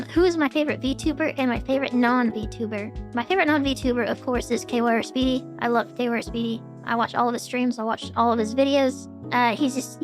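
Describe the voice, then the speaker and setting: A woman VTuber answering viewer questions in a casual vlog, with a gravelly, strained voice and a throat getting sore, rambling with many fillers and restarts. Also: sits high.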